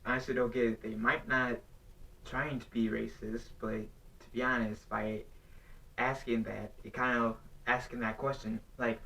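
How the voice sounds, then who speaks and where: A young man talking off the cuff into his own recording, halting and earnest as he circles his point, often breaking off and restarting. His voice sits at an ordinary middle pitch. He sounds set back from the microphone, a little distant in the mix. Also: flat delivery, vocal fry, sharp tone. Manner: halting, rambling, earnest questioning. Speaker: vlogger. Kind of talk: unscripted talk to camera. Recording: voice somewhat distant from the mic.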